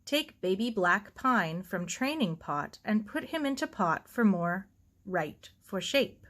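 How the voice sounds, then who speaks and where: A woman voicing a calm, devout elderly Japanese gardener who speaks slowly in broken English. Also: mid-range voice. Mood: calm, instructive. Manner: slow, broken English with dropped articles.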